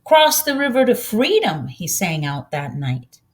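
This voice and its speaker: Woman voicing a kindly old farm woman, animated lilt. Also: on the low side. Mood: proud storytelling. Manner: folksy, unhurried storytelling.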